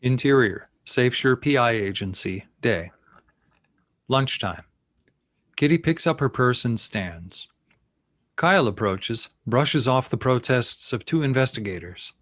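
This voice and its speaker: A man's voice: mid-range voice, expressive, measured pace, a bit bright, no room sound. A man reading scene headings and action lines as narrator of a screenplay table read. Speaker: narrator reading stage directions.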